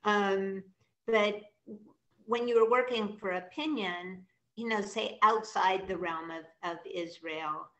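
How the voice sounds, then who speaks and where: A woman in her sixties from the Midwest, interviewing a guest over a video call, halting with many ums and restarts. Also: mid-range voice, fairly bright tone, poor audio. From American Midwest. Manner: hesitant, many restarts and ums, asks long questions. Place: room with brick wall behind.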